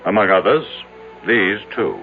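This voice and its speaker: A man's voice: sits low, lively intonation, a little slow, gravelly, exaggerated voice. An actor playing a humorless, suspicious rich businessman in an old-time radio drama, speaking in a clipped, formal, impatient way. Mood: agitated. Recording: tinny vintage radio drama, faint music.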